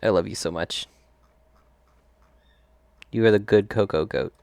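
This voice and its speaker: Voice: sits low, unhurried, creaky, a little mellow, no room sound. A game streamer giving casual, chatty playthrough commentary and reacting aloud to the game.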